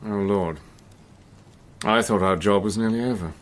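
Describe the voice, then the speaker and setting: An actor playing a plain-spoken, steady British adventurer with a dry, understated manner in a radio drama. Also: male voice, on the low side, moderate pace, close to an everyday voice. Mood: resigned. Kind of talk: radio drama dialogue and first-person narration.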